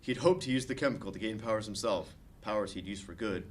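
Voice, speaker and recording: A man's voice: fairly low pitch, fast talker, slight background noise. A man reading stage directions and narration aloud as the narrator of a comedy script table read.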